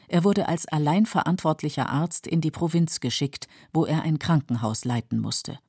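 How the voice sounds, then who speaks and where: Low, mellow female narrator. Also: husky, dry recording, clean studio sound. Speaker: narrator of a radio literary feature. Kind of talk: scripted documentary narration.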